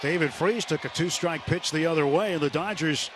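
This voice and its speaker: Higher-voiced American baseball announcer, noisy ballpark. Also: male voice, brisk. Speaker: baseball play-by-play radio announcer. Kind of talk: live sports commentary. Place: stadium broadcast booth. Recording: noisy ballpark radio broadcast.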